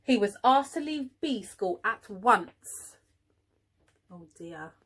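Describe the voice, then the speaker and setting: A woman reading a picture book aloud to young children, expressively, pausing for little asides to the listeners. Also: mid-range voice, expressive. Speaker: early years teaching assistant reading a storybook.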